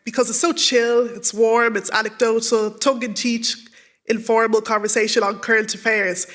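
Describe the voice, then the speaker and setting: A woman's voice: a little high, little pitch movement, normal speed, a bit bright. A young adult woman moderating a live book-festival talk, giving upbeat, polished introductions of the guests. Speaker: event moderator and broadcaster. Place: live event stage.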